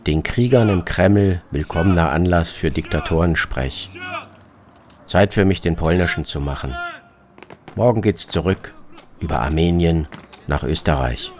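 A man's voice: deep voice, measured pace, a crowd in the background. A man reading scripted first-person radio narration in terse, fragmentary phrases. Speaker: narrator of a radio travel piece. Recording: music behind the voice. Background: music.

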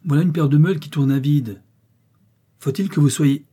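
A man's voice: mid-range voice, fairly quick, warm tone, husky, voice pushed up, lightly acted. A man voicing a stern, irritable miller in a storybook reading, curt, scolding and commanding. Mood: irritated, urgent. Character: stern, irritable male miller, the master of the mill.

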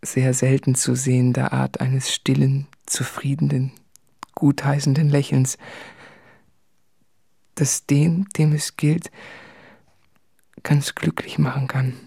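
An actor playing a brooding, guilt-ridden son in an introspective radio drama monologue, his voice husky and breathy. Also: medium pitch, flat delivery, slow, long pauses, dark tone, no room sound, studio quality, exaggerated voice. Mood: wistful reproach. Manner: subdued, introspective.

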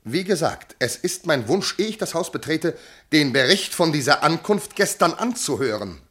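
An actor playing a jealous, tormented noble commander and husband in classical verse radio drama, declaiming intensely. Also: male voice, a little high, very animated, normal speed, slightly rough, full character voice. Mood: strained, brooding. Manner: intense declamation, from hushed to outbursts.

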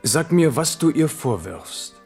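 A man's voice: fairly high pitch, very animated, takes it slowly, vocal fry, a little mellow, faint music behind, full character voice. An actor playing an amorous, proud but weary French king in a German radio drama, commanding and musing by turns. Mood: commanding, probing.

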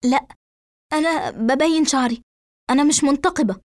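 A woman's voice: high voice, normal speed, a little mellow, lightly acted. A woman voicing a distraught, trembling young woman in an audio story, pleading and agitated in an Egyptian accent. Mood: trembling, defensive.

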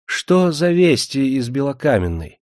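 A man's voice: medium pitch, big pitch swings, unhurried, darkish tone, no room sound, studio quality, pitched above the performer's own voice. A man voicing a shabby, haughty nobleman from an old historical novel, with a bullying, indignant manner. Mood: fawning. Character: shabby, haughty, shrill hereditary nobleman, fawning then furious.

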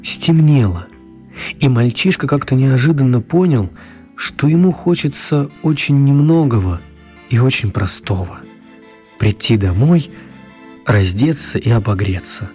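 A man's voice: medium pitch, animated, unhurried, dark tone, close to an everyday voice. An actor narrating an audio drama as a writer telling his own magical tale. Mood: storytelling. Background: music.

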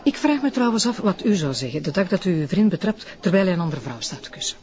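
Proud flemish wife, icy n sarcastic, radio drama, slightly muffled. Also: female voice, on the low side, very animated, fast talker, a little mellow. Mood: scornful. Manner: curt, sarcastic.

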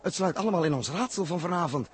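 A man's voice: high voice, lively intonation, fast talker, slightly rough, full character voice. An actor playing an intense, brooding male astronaut who repeats phrases emphatically in a 1960s radio drama. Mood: grave, urgent.

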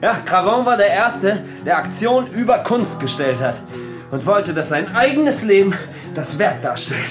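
Grandiose performance artist, pompous, teasing, very lively intonation, music behind, radio play. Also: male voice, sits high, unhurried. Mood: grandiose, declamatory. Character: male self-important provocative performance artist, grandiose. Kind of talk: radio drama dialogue. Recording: music under the dialogue.

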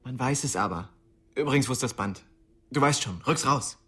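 A man's voice: mid-range voice, lively intonation, normal speed, pushed and bright, husky. An actor playing a cocky, restless young man who narrates his story in a slangy, streetwise radio drama style. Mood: annoyed. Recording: music behind the voice.

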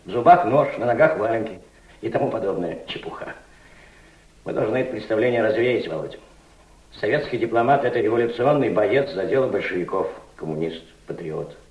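A man's voice: mid-range voice, animated, brisk, natural voice. An actor playing a fatherly, exacting Soviet diplomat chief in a radio play, lecturing emphatically. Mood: instructive, firm. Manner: didactic, emphatic, addresses a younger subordinate. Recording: roomy, dull old radio recording.

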